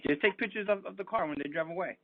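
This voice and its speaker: A man's voice: high-pitched, very fast. A middle-aged man chatting casually as a father, with an insistent, questioning way of talking, over a doorbell intercom. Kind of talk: casual conversation. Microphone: doorbell intercom call. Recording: phone-like doorbell intercom audio, clear background noise.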